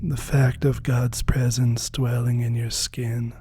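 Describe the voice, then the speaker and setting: A meditation guide with a dark, mellow voice leads a spiritual practice very slowly. The delivery is soft and breathy, often sinking toward a whisper, with long gentle pauses. Faint music plays along. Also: medium pitch, level tone.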